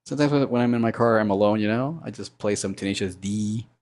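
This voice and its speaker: A man with a low baritone voice and a sore throat, chatting casually and humming to himself while he animates on a live stream. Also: fast talker, darkish tone. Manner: rambling, thinks aloud, hums and mutters sound effects.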